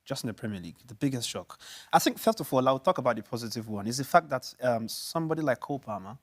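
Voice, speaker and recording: A Nigerian man with an Igbo mother tongue talks football on a studio chat show. His voice sits at an ordinary middle pitch, and he rambles with animated restarts and plenty of "you know". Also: quick pace. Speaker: show co-host, pundit.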